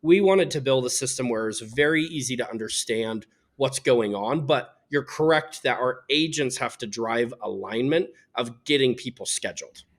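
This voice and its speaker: A man with a mid-range voice talks as a guest in a business interview. He explains how his company works in a measured, articulate way and comes across as candid. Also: medium pitch. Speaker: company founder being interviewed.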